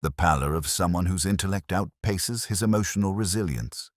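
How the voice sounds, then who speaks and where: Guy doing a brooding old police inspector telling his case, super deep voice, dry close sound, measured literary delivery. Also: dry recording, lightly acted. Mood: brooding, reflective. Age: older. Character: ageing, melancholy, observant male police inspector. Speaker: narrator. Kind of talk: first-person scripted detective story narration.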